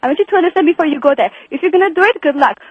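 A woman with a high voice and a slight foreign accent rattles off her points at great speed, pushing back hard on the man on the line. Her voice comes through a tinny, phone-like line. She is insistent and keeps pressing her argument. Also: expressive, no echo. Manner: very fast-talking, insistent. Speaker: caller.

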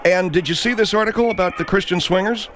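A man's voice: high-pitched, fast talker, a bit bright, a little hiss or hum. A man hosting a morning radio show, mocking and crude with jokes. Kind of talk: irreverent morning radio banter.

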